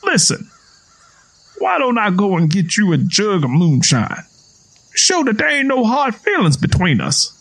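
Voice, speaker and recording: Man voicing a high-pitched, taunting Southern man. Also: wildly swooping pitch, a little slow, creaky, a bit bright, exaggerated voice. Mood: placating. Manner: folksy, taunting, argumentative.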